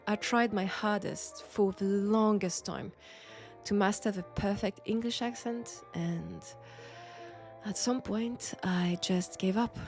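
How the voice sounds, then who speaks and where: A woman performs a flirtatious, mysterious Frenchwoman from a Second World War roleplay. She has a French accent and takes her time, coy and conversational, pausing between thoughts. Soft music plays faintly behind her intimate delivery. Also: medium pitch, steady tone. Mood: playful, friendly. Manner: unhurried, coy, conversational with pauses. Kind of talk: intimate roleplay audio drama.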